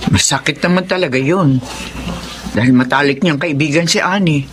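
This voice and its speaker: A woman playing a caring, excitable mother, expressive, in a Filipino radio drama. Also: sits low, moderate pace, close to an everyday voice. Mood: concerned. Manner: animated, expressive. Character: caring, excitable mother, proud of her child.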